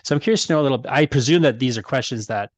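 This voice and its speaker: A man's voice: mid-range voice, racing, no echo. A man hosting a podcast interview in a casual conversational way, circling discursively toward his questions. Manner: discursive, rambling build-up to questions.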